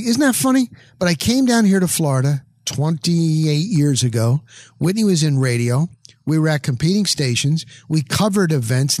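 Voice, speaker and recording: Male podcast host, middle-aged, American. Slightly high voice, close dry sound, casual punchy storytelling with acted-out dialogue. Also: no echo. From New Jersey, United States. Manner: animated storyteller, acts out dialogue in short punchy phrases. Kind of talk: casual anecdotal monologue.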